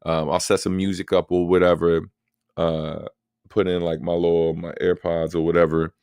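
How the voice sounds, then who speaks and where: A man with a deep voice hosting a casual livestream Q&A, answering viewer questions in a relaxed, talkative way with plenty of fillers. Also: normal speed, clean tone, no room sound, studio quality. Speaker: host.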